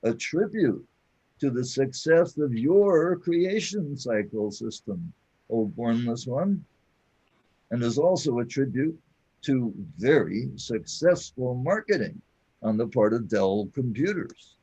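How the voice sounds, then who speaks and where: A man playing a patient, reverent companion to a god in a scripted audio drama, explaining things in a deliberate, mock-solemn manner on a lo-fi track. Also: medium pitch, expressive, warm tone, breathy edge, full character voice. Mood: earnest explaining.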